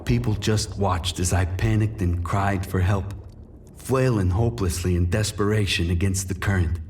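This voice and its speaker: A wounded crime-drama dealer, grim, defiant and desperate. He is a man telling his own dark story in dramatic noir style, with prominent music behind his low-set voice. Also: far from the mic. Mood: grim, despairing. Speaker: actor narrating a crime audio drama. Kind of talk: first-person noir crime audio drama narration. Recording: studio voice under prominent music.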